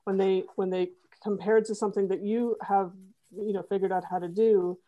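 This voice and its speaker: A middle-aged woman talking through her web development work in a relaxed interview, with plenty of restarts and fillers. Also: medium pitch, brisk, lo-fi sound. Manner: thoughtful, many fillers and restarts. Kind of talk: conversational interview.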